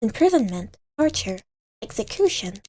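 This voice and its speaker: A woman's voice: fairly high pitch, sing-song, moderate pace, darkish tone. A woman reading visual novel narration aloud in a dramatic storytelling way, now and then stumbling over words.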